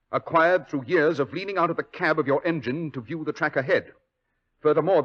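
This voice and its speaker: A crisp lecturing detective. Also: male voice, fairly high pitch, a bit bright. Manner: crisp, lecturing, self-assured. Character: brisk, confident male detective. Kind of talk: 1940s radio acting. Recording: dull old radio broadcast.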